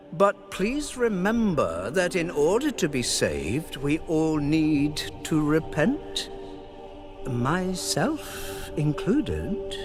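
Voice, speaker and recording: An aging priest for a dark fantasy game, his voice swinging widely in pitch over clear music. He speaks slowly and formally, with solemn courtesy and a sly, probing undertone. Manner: measured, formal, insinuating. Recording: music behind the voice.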